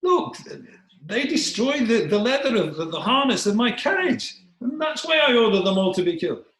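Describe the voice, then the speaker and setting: Man playing storybook king, defensive, exasperated, blustering, much raised pitch, roomy echo. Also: big pitch swings, normal speed, fairly bright tone, full character voice. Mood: indignant. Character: indignant, defensive male king, quick to punish.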